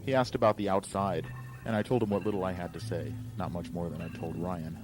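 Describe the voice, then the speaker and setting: A man's voice: low-pitched, fairly even intonation, brisk, music in the background. A man reading first-person fiction as a scripted story narration.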